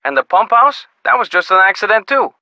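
An actor playing an easygoing, skeptical small-town sheriff in a video game, with a folksy, casual manner. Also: male voice, sits high, very animated, bright, forceful tone, no room sound, full character voice. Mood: folksy, unhurried. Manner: folksy, casual, drawn-out reactions. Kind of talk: video game character dialogue.

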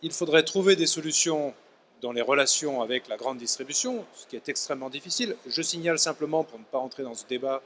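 A man's voice: mid-range voice, expressive, quick pace, a bit bright, slight background noise. A middle-aged man, a professor guest on a trade fair panel, talking articulately and gesturing as he speaks into a handheld mic. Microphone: handheld microphone.

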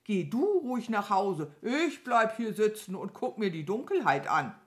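A woman's voice: medium pitch, big pitch swings, measured pace, voice pushed up. A woman voicing a grumpy, contrary, boastful crow in a children's storybook, with a snappy, grumbling manner. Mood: boastful, scornful.

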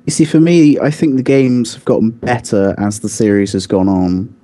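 A man's voice: medium pitch, expressive, normal speed, mellow. A man co-hosting a podcast, talking casually in a back-and-forth discussion of video games. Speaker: podcast co-host.

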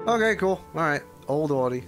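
A man's voice: fairly high pitch, expressive, normal speed. A man giving casual live commentary on a game, muttering offhand asides and skimming text aloud. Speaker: streamer reacting to a game story. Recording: faint game music behind. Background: video game music.

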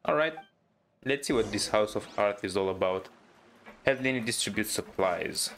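A woman's voice: a bass voice, lively intonation, moderate pace, slight background noise. A woman streamer giving casual, dryly wry commentary as she plays a game. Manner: dry, wry asides. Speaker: streamer playing and reacting to a game.

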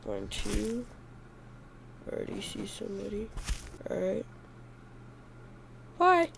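Slow, stuffy young man gaming. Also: sits high, sing-song, gravelly, fairly bright tone, slight background noise, rough recording. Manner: rambling, hesitant, goofy self-correcting. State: stuffy from a cold. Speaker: gaming YouTuber. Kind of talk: casual gameplay commentary.

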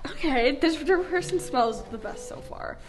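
A woman's voice: sits high, expressive, soft background music. A young woman vlogging a blindfold challenge in a living room, giggly and dramatic. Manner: giggly, dramatic, quick reactions. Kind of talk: excited casual chat. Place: bright living room indoors.

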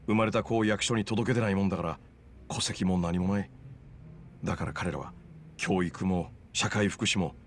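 A man playing a well-informed, sharp-witted man from Tokyo, explaining things casually in a video game drama. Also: lowish voice, slight background noise, close to an everyday voice.

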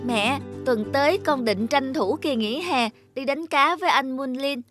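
A woman's voice: high voice, a little slow, music in the background. A woman voicing an eager, polite, hardworking young boy in a cartoon, with an earnest manner.

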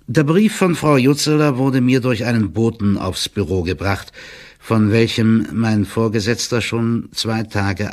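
A man playing a fussy, deferential court clerk, reading out a formal report in measured tones in an audio drama. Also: fairly low pitch. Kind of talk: audio drama, letter read as monologue.

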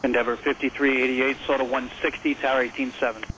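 A man's voice: medium pitch, muffled recording. A man working as an air traffic approach controller, giving instructions to pilots in clipped radio phraseology over a two-way radio. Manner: flat, rapid, businesslike delivery. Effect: two-way radio transmission. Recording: noisy airband scanner radio.

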